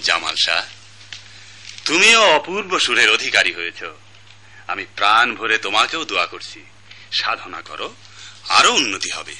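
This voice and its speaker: A man's voice: fairly low pitch, very animated, measured pace, pauses often, sharp tone, heavily acted. A man with a Bangladeshi accent playing a proud, benevolent music master in a radio drama, grandiloquent and declamatory. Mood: proud blessing. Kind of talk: Bengali radio drama acting.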